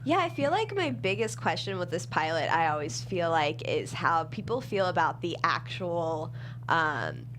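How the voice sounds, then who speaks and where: A woman's voice: on the low side, big pitch swings, normal speed, a bit bright, slight background noise. A woman talking casually as a guest writer in a panel chat, informal and emphatic, often repeating a phrase to stress it. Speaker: guest screenwriter.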